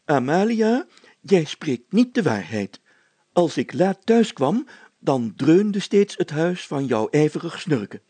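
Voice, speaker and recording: A man's voice: fairly high pitch, big pitch swings, dark tone, husky, no echo, pitched above the performer's own voice, exaggerated voice. A man voicing a pompous, fatherly and easily flustered village mayor in a storybook, stammering and drawing out his phrases. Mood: indignant. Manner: stammering, deliberate, drawn-out phrasing.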